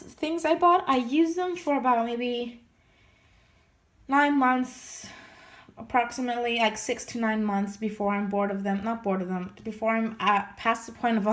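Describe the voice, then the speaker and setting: A woman chatting casually in a vlog, her voice slightly hoarse as she gets over losing it, rambling with many restarts and fillers. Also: on the high side. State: recovering from lost voice, slightly hoarse. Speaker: scrapbooking vlogger. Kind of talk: casual show-and-tell chat.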